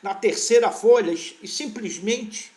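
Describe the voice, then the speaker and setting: An older man with a fairly high-pitched voice recalls his past as an interview guest. He speaks in a relaxed, wandering way and often stops to restart his sentences. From born in Portugal, raised in Brazil. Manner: unhurried, rambling recollection with frequent restarts. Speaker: veterinary parasitology professor, interview guest. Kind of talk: relaxed conversational storytelling.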